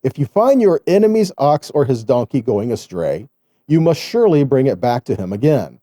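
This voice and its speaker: A man's voice: mid-range voice, big pitch swings, normal speed, warm tone, no echo, clean studio sound. A man giving a sermon as a religious teacher, reading scripture and explaining it in a conversational way, checking in with his listeners.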